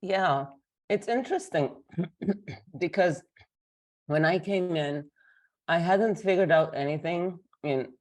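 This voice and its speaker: A woman from Lebanon, a guest on a video call interview, talking casually and hesitantly with many fillers while sick. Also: low-pitched. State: sick, voice affected.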